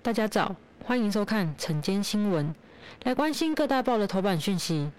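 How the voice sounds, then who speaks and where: A woman playing a composed television news anchor, reading formally in a measured way. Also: medium pitch, expressive, a little mellow, clear, resonant tone, lightly acted. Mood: formal, serious.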